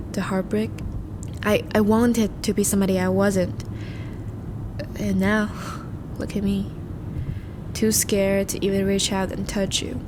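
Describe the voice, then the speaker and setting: A woman playing a charming, once-noble vampire in a romantic audio roleplay, speaking formally and intimately to the listener, with a soft, hesitant manner. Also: medium pitch, noisy background, lightly acted. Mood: anguished longing. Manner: formal, courteous, hesitant, soft-spoken confessions.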